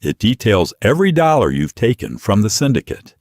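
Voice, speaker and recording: A man playing a cold mafia boss in an audio story, low-voiced, terse and commanding with controlled menace. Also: big pitch swings, a little fast, a little mellow, dry recording, clean studio sound.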